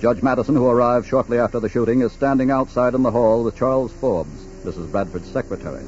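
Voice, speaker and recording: A man narrating a scripted 1940s radio crime story in a formal, measured way. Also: medium pitch, darkish tone, soft background music. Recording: muffled 1940s radio recording.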